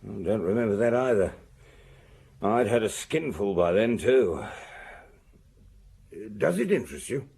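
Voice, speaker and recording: Husky, gravelly small-time crook. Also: male voice, lowish voice, big pitch swings, unhurried, pauses often, warm tone, heavily acted. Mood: evasive. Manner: rambling, hesitant, colloquial storytelling. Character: shifty small-time crook and ex-sailor bargaining with police. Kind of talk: radio crime drama acting.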